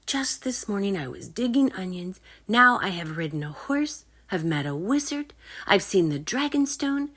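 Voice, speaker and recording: An earnest young peasant boy from a storybook, as a woman reads him. His voice lifts high and moves around a lot, full of wonder and a little unsure of himself. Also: sing-song, moderate pace, a bit bright, breathy edge. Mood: awed. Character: earnest, nervous peasant boy, awed young dragon master.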